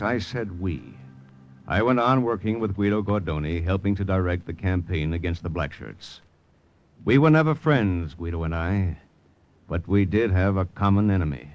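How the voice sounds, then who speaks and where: An actor playing a steadfast American intelligence lieutenant in old radio drama style, measured and stoic, with an American accent. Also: male voice, low-pitched, dull audio. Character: steadfast American male OSS lieutenant, resolute and self-sacrificing. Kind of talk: 1940s-50s radio acting, first-person narration and dialogue. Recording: old-time radio recording with music behind.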